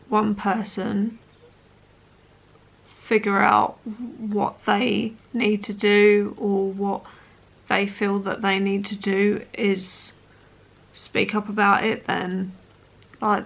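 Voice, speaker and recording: A woman in her late twenties talking personally to camera as a vlogger, in an earnest, hesitant, halting way. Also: medium pitch, flat delivery, creaky, low-quality recording. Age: late 20s.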